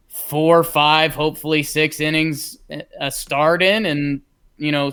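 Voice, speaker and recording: Clear, higher-voiced American man talking sports. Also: bright, forceful tone. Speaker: podcast host. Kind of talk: casual sports talk.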